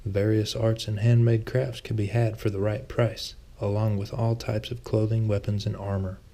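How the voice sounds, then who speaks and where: A man's voice: lowish voice, little pitch movement, normal speed, mellow. A man reading his own fantasy novel aloud as scripted audiobook narration.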